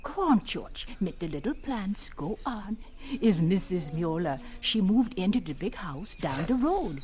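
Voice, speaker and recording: An actress playing a kindly German farm wife who speaks broken English with a German accent, in a radio drama. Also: female voice, lowish voice, sing-song, measured pace, a little hiss or hum. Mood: gentle, proud. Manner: broken English, warm and fussing. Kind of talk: 1940s radio drama acting.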